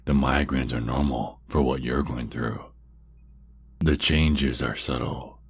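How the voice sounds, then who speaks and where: Man voicing a very deep, husky vampire kidnapper. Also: full character voice. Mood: smug, soothing menace. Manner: slow, drawn-out, mocking menace. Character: taunting, sinister centuries-old male vampire kidnapper. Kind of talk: ASMR roleplay monologue.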